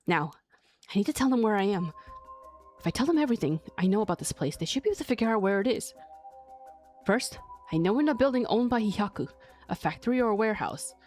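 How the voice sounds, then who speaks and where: A woman's voice: medium pitch, expressive, quick pace, pauses often, darkish tone, faint music behind, close to an everyday voice. A woman voicing an earnest, puzzled young woman who thinks aloud, reading dialogue from a mystery game. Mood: earnest, determined.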